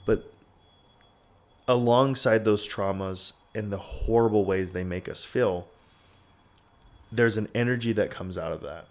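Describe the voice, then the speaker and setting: A man in his twenties with a low voice speaks frankly on a podcast. He rambles, leans on "like" and swears without pause. His talk is loose and unpolished. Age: 20s. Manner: rambling, frequent "like", swears freely. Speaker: podcast host. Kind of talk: candid casual podcast talk.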